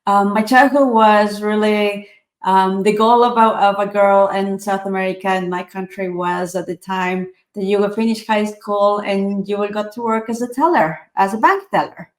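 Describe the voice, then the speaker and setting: A woman's voice: mid-range voice, moderate pace. Woman, 40s, from Ecuador, reflective interviewee, echoey room. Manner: earnest, reflective, many fillers and restarts. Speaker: author and tech speaker. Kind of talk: interview answers.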